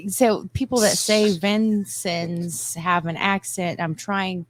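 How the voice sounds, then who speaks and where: A woman's voice: mid-range voice, steady tone, slow. A woman from southern Indiana with a rural country accent, telling stories in casual podcast chat. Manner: laughing, chatty, storytelling.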